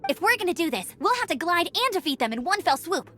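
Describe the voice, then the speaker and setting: An upbeat young female game scout, very high-pitched and animated. She sounds confident, playful and encouraging, in anime-style game delivery, with faint music underneath. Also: fast talker, heavily acted. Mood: determined.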